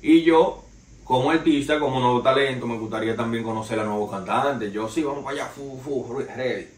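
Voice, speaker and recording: A man's voice: medium pitch, brisk. A young Dominican man with a Dominican Spanish accent, hosting a casual gossip podcast with loose, slangy storytelling and calling his listeners "señores". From Dominican Republic. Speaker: podcast host. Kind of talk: casual entertainment news commentary. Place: small living room, indoors, seated on a sofa. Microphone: far camera, no mic seen. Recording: roomy echo, distant mic, faint noise.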